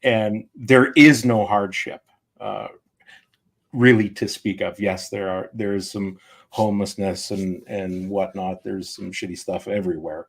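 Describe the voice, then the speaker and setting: Gravelly low-voiced blunt man. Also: steady tone, measured pace. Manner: blunt, swears freely, opinionated. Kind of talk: casual podcast chat. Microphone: remote call mic.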